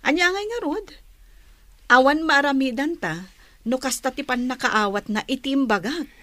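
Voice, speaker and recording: A woman's voice: sits high, normal speed, fairly bright tone, dry recording. An actress playing a wronged woman who lent help and complains of ingratitude in a radio drama. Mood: aggrieved. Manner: aggrieved, complaining.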